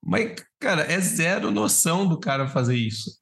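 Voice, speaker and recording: A Brazilian man with a slightly high voice co-hosts a podcast. He speaks in an informal, slangy way, as in a casual chat among friends. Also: very animated, normal speed, a bit bright. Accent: Brazilian Portuguese. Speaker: podcast co-host.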